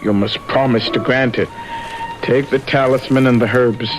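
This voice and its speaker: An actor playing a mysterious, calm dealer in charms in a radio drama, measured and instructing. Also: male voice, mid-range voice, slight background noise, lightly acted, poor audio. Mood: calm, ominous. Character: mysterious dealer in charms and herbs, calmly bargaining. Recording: old radio broadcast, loud music behind.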